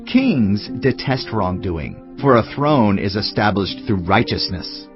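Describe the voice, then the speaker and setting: A man's voice: lowish voice, lively intonation, lo-fi sound. Solemn male scripture reader over music. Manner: solemn, measured delivery. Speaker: audio Bible reader. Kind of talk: dramatised scripture reading. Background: clear background music.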